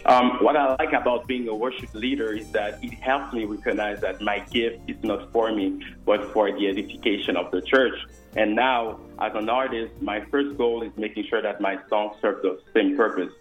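A man's voice: fairly low pitch, fairly even intonation, normal speed, echoey room, faint music behind, poor audio. A man from Haiti, a French and Creole speaker, answering radio interview questions earnestly with many fillers. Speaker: guest musician and worship leader.

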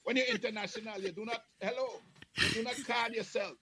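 A man from the Caribbean chatting casually as a guest on a video call, lively and laughing, sometimes breaking into a sung line. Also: high-pitched, fast, a crowd in the background. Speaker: guest entertainer.

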